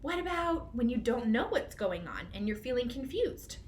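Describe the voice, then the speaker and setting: High-pitched woman leading children's storytime. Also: very animated, normal speed. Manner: encouraging, simple instructions addressed to young children. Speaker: children's librarian hosting storytime. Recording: clearly noisy room recording.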